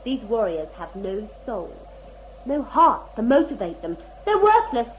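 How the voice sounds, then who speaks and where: An actress playing a proud, fierce warrior huntress who speaks in solemn, terse, formal words in an audio drama. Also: female voice, on the high side, very animated, unhurried, a little hiss or hum, low-quality recording. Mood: scornful, solemn. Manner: solemn, terse, formal speech without contractions.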